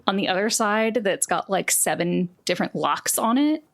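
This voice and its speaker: Casual woman game master, medium pitch. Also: expressive, normal speed. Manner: conversational, casual asides. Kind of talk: improvised tabletop game narration.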